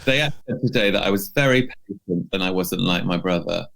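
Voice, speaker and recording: Deep gravelly guy co-hosting a podcast, chatty, kinda halting, repeats himself. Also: fairly bright tone. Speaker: podcast co-host. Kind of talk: casual chat.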